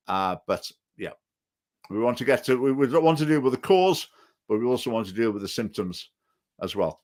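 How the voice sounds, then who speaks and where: A man's voice: mid-range voice, a bit bright. Hesitant man hosting a talk show. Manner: hesitant, many fillers and restarts. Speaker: host. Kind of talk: political talk show chat.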